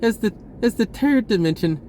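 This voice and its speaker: A man giving a rambling, informal spiritual monologue in a halting, searching way, often repeating words and slipping into laid-back slang. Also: high voice, animated, dark tone, slight background noise. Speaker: spiritual vlogger.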